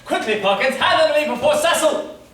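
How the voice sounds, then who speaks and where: A woman's voice: high voice, lively intonation, a little fast, a bit bright. Woman playing a grave inspector, distant and echoey. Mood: urgent. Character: grave, urgent police inspector in a period mystery. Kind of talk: staged reading of a period murder mystery. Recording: very echoey, far from mic.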